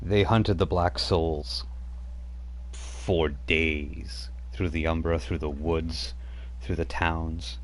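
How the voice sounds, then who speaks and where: Deep-voiced man running a tabletop game. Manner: relaxed, halting, lots of ums, slows down for dramatic narration. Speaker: game master.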